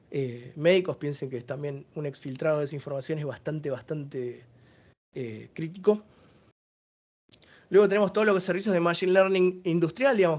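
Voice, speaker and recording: A man's voice: on the high side, darkish tone, highs cut off. A man with an Argentine accent giving a technical webinar presentation, explaining each service step by step.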